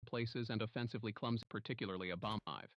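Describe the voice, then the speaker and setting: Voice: medium pitch, quick pace, a bit bright, no room sound, close to an everyday voice. A performer voicing a jaded technical investigator who narrates case notes in a clipped, literary, disdainful way. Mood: dry disdain. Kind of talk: scripted narration, analog horror log.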